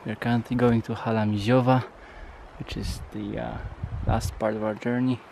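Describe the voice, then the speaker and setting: A man's voice: mid-range voice, level tone, normal speed, creaky, darkish tone. A young man with a Polish accent narrating a casual vlog from behind a handheld camera, with dry, playful remarks. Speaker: vlogger filming a hiking trip. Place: outdoors on trails and inside wooden huts. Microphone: handheld camera, speaker behind it. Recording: noisy handheld camera with ambient sound.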